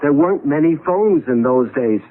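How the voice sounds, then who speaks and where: An actor in a 1970s radio drama playing an agitated, urgent husband stranded in the past, prone to stammering. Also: male voice, a little high, animated, normal speed, natural voice. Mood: impatient. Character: agitated husband displaced into his great-grandfather's era. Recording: narrow-band tinny vintage radio recording.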